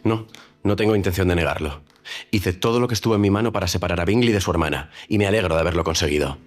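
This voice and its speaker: An actor playing a haughty, proud gentleman who speaks in a cold, formal and clipped way, with soft music under him. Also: deep voice, rapid-fire, close to an everyday voice. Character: haughty proud gentleman making a stiff proposal. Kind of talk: audio drama acting.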